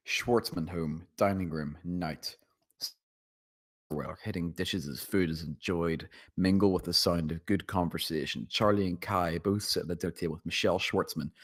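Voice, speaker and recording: A man reading stage directions as narrator at a screenplay table read. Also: low-pitched.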